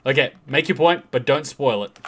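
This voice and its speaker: A man's voice: mid-range voice, expressive, moderate pace. A man chatting casually as a reaction host, emphatically explaining and rephrasing his points. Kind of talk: casual commentary and chat.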